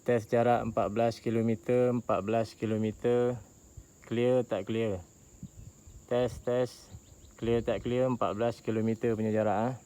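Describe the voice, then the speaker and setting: A Malaysian man giving an informal product review in a casual vlog style, explaining in a halting, repetitive way. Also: medium pitch, level tone, warm tone. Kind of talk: casual outdoor vlog talk. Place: outdoors, high up on a tower.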